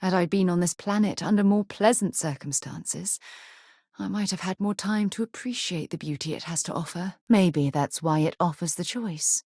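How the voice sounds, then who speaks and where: A woman playing a principled, earnest space explorer and leader in a video game, a husky voice and articulate, formal delivery. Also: fairly low pitch, moderate pace, a little mellow, no room sound, clean studio sound. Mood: composed, reflective. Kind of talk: scripted video game companion dialogue.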